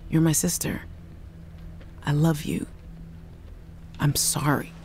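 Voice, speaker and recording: An actress playing a worried woman scientist who leaves halting, apologetic voice messages for her sister in a video game. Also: low voice, flat delivery, darkish tone, a little hiss or hum. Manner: anxious, apologetic, halting restarts. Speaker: game character.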